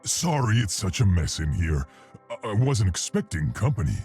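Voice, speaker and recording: A man voicing an earnest, socially awkward gorilla scientist for a video game, articulate and bookish with a gravelly voice. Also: low-pitched, sing-song, normal speed, a little mellow, heavily acted. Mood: earnest, thoughtful. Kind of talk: video game hero voice lines. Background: background music.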